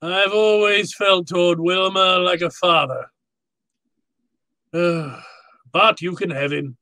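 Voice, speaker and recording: An actor playing a jovial, cunning older crime boss in a radio drama, speaking with florid, formal politeness. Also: male voice, sits high, fairly even intonation, takes it slowly, bright, forceful tone, no echo, heavily acted. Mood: smooth, explanatory. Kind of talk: old-time radio drama acting.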